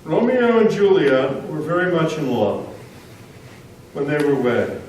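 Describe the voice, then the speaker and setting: A man's voice: a little high, big pitch swings, unhurried, darkish tone. A man performing scripted lines in a staged readers theater show. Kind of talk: staged dramatic reading. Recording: very echoey hall, distant mic, faint noise.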